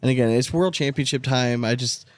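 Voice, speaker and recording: A man hosting a sports podcast in casual banter, blunt and quick to swear. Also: mid-range voice, dry recording. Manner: blunt, profane. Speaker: podcast host.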